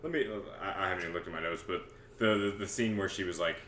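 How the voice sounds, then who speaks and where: A man in his twenties bantering casually as a podcast host, excitable, sweary and often stammering over repeated words. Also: sits low, very fast. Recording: echoey room, clear background noise.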